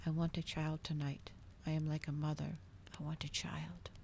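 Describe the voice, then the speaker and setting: A woman doing a soft, whispered ASMR reading as a streamer, slow and breathy, in a hushed manner. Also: sits low, flat delivery, slight background noise. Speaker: streamer host reading aloud.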